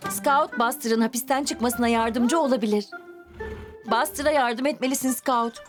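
A woman narrating a cartoon for young children, expressive and exclamatory, often asking questions and addressing the characters. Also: on the high side, normal speed, soft background music.

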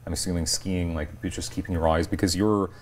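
A man's voice: low-pitched, fairly even intonation, fast, a little hiss or hum. A man in his forties hosting a television talk show and interviewing guests conversationally. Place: TV studio, indoors.

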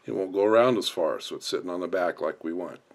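A man's voice: low voice, quick pace. A man instructing in an unscripted, step-by-step way as he explains hands-on work. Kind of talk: hands-on tutorial narration.

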